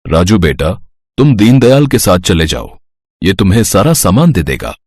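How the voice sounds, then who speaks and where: Man acting a school principal, kindly, dignified, measured, low voice, lively intonation, storybook drama. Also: no room sound, studio quality. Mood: benevolent.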